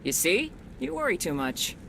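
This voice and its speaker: A woman's voice: big pitch swings, moderate pace, gravelly. An actress playing a trusting, determined middle-aged colonist mother in a video game, earnest and firm. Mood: relieved, firm. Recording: noisy rumbling ambience behind voice.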